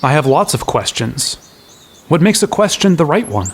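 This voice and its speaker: An actor playing an inquisitive, cheeky young apprentice in an audio drama, questioning and impatient. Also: male voice, a little high, big pitch swings, moderate pace, a little hiss or hum. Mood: curious, frustrated.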